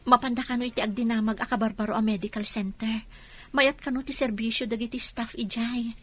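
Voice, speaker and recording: A woman's voice: fairly high pitch, fairly even intonation, fairly quick, lightly acted. A woman with an Ilocano accent playing a distressed, prayerful mother of a sick child in a radio drama. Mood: concerned.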